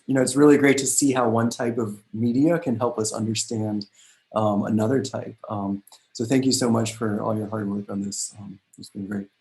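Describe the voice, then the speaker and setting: A man hosting an online reading, closing with thanks and reminders in a relaxed way with many filler ums. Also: medium pitch, low-quality recording. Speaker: host of the event.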